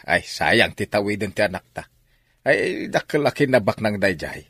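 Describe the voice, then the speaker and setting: A man's voice: on the low side, expressive, normal speed, a bit bright, slightly rough, no room sound, clean studio sound. A man playing a stubborn, hard-drinking father in a radio drama, blustering and exclaiming.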